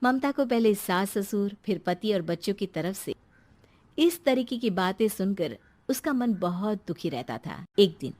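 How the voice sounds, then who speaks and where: A woman's voice: medium pitch, animated, unhurried, darkish tone. A woman narrating a scripted story.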